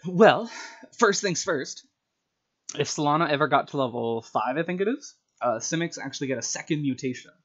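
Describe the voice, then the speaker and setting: A man's voice: a little high, animated, moderate pace, a bit bright. A man casually recounting story ideas for a tabletop game, acting out bits of dialogue as he goes. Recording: dull, lo-fi sound.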